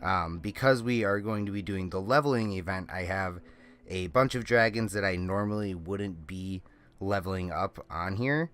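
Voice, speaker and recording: A man's voice: low-pitched, moderate pace, a bit bright, clear, resonant tone. A man with a slightly nasal, congested voice giving rambling, casual walkthrough commentary on a video game as a channel host, often correcting himself. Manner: rambling, self-correcting explanations. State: congested with a lingering cold. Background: faint music.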